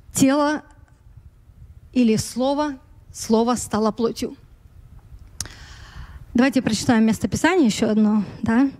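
A woman preaching a sermon in Russian, speaking slowly and pausing to search for words, her Russian touched by long years in America. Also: fairly high pitch, darkish tone, in a large hall. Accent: American-influenced Russian. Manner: slow, searching for words, with light laughs.

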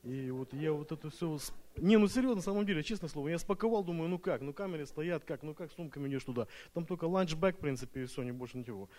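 A man's voice: a little high, fast, few pauses, warm tone. A man speaking Russian as a second language, giving a nervous, hesitant church testimony full of fillers and restarts. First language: not Russian. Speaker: retreat participant giving testimony.

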